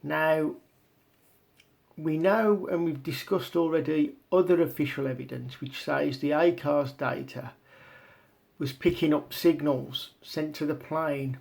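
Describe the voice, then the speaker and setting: A man giving informal explanatory commentary as a video presenter, talking in a halting, step-by-step way. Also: mid-range voice, creaky, darkish tone. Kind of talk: informal explanatory commentary over on-screen maps and documents.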